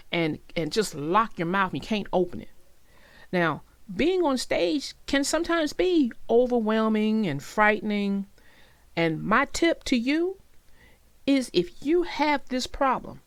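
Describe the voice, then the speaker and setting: A woman narrating for young children in an expressive, reassuring way, as if reading aloud and talking directly to them. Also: a little high, no echo. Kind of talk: read-aloud storytelling and advice to young listeners.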